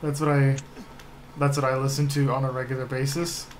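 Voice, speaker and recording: A man's voice: mid-range voice, flat delivery, fairly quick. A young man hosting a game stream in casual chat, with a downbeat, self-deprecating manner. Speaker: live streamer, host. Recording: noisy room, typing in background.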